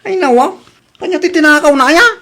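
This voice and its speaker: Casting: woman as worried, loyal comedy husband; notably high pitch, earnest protesting delivery, radio drama. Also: a little fast, sharp tone. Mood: defensive.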